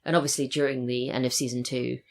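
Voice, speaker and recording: A woman's voice: extremely low pitch, little pitch movement, fast talker. An English woman chatting casually as a podcast guest. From England. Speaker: podcast guest, audio drama writer and voice actor. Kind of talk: casual chat.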